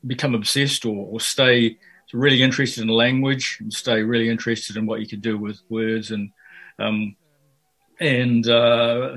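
Halting older man, flat delivery. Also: mid-range voice. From Fiji and New Zealand. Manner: hesitant, many fillers and restarts. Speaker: interviewed poet. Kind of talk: radio interview.

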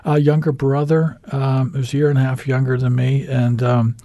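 Man, 50s, American accent, halting rambling storyteller, mellow tone, dry close sound. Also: mid-range voice, little pitch movement, dry recording, muffled recording. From United States. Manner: rambling, halting, many ums and uhs, wry humor. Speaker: radio show guest. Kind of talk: candid storytelling interview.

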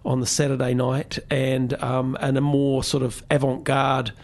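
A man with a medium-pitched voice hosts casual radio talk. He wanders through his sentences, pausing and restarting as he thinks out loud. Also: completely flat delivery. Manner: rambling, halting, thinking aloud. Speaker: radio host.

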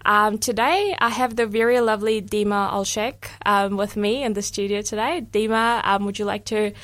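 A woman's voice: mid-range voice, steady tone, moderate pace, fairly bright tone, dry recording. Young woman hosting community radio. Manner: friendly, many ums and restarts. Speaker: radio host. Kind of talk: casual community radio chat. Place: radio studio.

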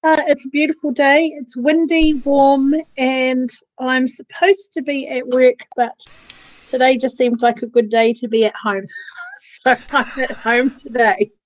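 A woman co-hosting a casual interview podcast from home, chatting brightly and laughing easily. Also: high-pitched, flat delivery, unhurried, no echo, low-quality recording. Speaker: co-host.

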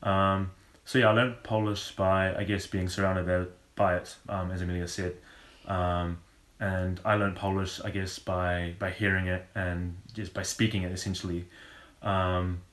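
A young man with a very deep, flat voice. He talks haltingly as a radio guest, full of pauses, and the sound is roomy. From New Zealand. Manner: halting, many "um"s and restarts. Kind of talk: casual chat.

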